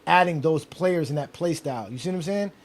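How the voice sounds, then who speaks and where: Male host, 40s, casual sports debate, higher voice, lively and repetitive. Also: brisk, over a noisy crowd. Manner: animated, repeats himself when excited. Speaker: show host.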